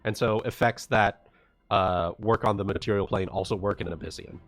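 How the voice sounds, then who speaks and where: A man narrating a live tabletop roleplaying session as game master, halting as he searches for words. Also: fairly low pitch, steady tone, fairly bright tone. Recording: music playing behind the voice. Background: background music.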